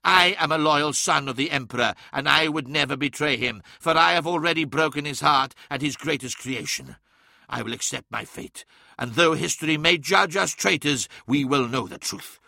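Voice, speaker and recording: A man voicing a proud male sorcerer lord, speaking gravely and with solemn measure. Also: a little high, normal speed, pushed and bright, dry recording, studio quality, full character voice. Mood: resolute. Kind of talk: audiobook character voice.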